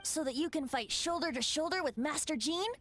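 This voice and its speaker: A woman's voice: high voice, brisk, a little hiss or hum, natural voice. A woman voicing a whimsical boyish bard spirit. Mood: musing. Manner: light, airy musing. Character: playful whimsical young male wind spirit bard.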